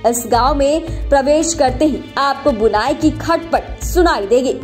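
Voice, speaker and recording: A woman narrates a scripted news report in a high-pitched voice. She works as a voice-over reporter, reading a feature story, with music playing behind her. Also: unhurried, darkish tone. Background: background music.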